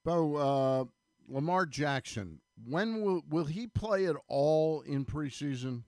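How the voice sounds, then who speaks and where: A man chatting casually about sports as a radio commentator, with a gravelly voice. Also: a little high, expressive, slow, a little mellow, dry recording, studio quality.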